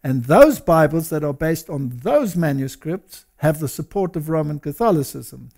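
A man's voice: a little high, lively intonation, normal speed, creaky, a little mellow. An older man co-hosting a religious discussion, explaining points in a lecturing way. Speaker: co-host. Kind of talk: studio discussion.

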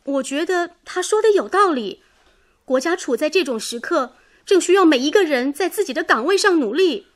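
An actress playing an earnest, idealistic young daughter in a radio drama, speaking with sensitive, pleading emotion. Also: female voice, high-pitched, animated, moderate pace.

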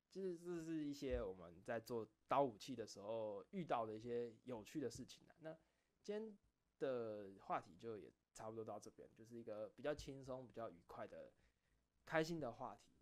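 A man with a Taiwanese Mandarin accent chats casually to his viewers as an online host, rambling a little, correcting himself and repeating phrases. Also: mid-range voice. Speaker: online video creator and host. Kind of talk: casual late-night chat to viewers.

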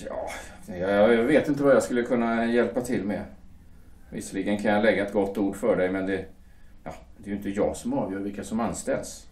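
A man's voice: fairly low pitch, a little mellow, close to an everyday voice. A man playing an earnest young shipyard worker in a radio play, deferential and prone to stammering. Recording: echoey room, distant mic.

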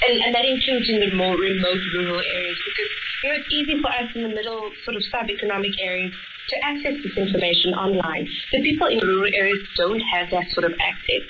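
A woman's voice: mid-range voice, fast. A woman journalist with a South African accent, speaking conversationally in a news interview over shortwave radio. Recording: dull shortwave radio with noise.

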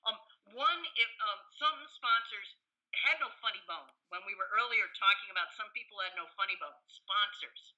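Older female professor for interview storytelling: very bright, sharp tone, medium pitch, conversational with hesitations. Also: moderate pace, dull audio. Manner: animated quoting, hesitant searching for words. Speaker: guest, professor and author.

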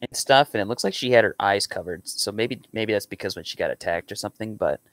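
A man's voice: on the low side, expressive, quick pace, a bit bright, no echo. A young man chatting casually and earnestly in a rambling reaction at home. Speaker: reactor and amateur voice actor. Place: small room at home.

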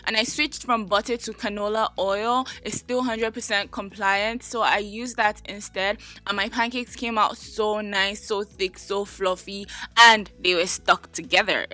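A woman's voice: mid-range voice, steady tone, unhurried, hardly any pauses, bright, forceful tone. A young woman talking through a cooking vlog in her home kitchen, chatty and sassy with playful asides. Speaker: lifestyle vlogger. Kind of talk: casual cooking vlog with voice-over.

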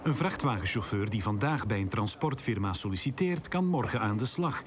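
A man's voice: on the low side, expressive, brisk. A man with a Flemish accent reading a scripted news report.

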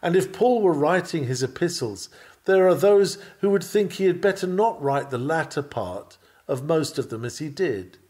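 Higher-pitched man reading a sermon. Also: animated, a little mellow. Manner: earnest, emphatic, measured preaching delivery with formal phrasing. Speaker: reader of a sermon text. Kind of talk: sermon read aloud from a written text.

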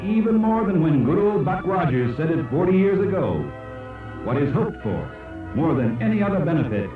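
A man reading scripted educational narration in an emphatic, oratorical way with dramatic pauses. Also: on the high side, far from the mic. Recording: echoey, dull old cassette played aloud, music. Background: music.

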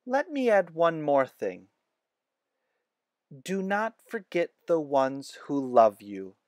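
Storybook role: wise, kindly woman nurse, played by a man, grave and measured. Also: a little high, very animated, darkish tone, dry recording, voice pushed up. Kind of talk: children's storybook read-aloud.

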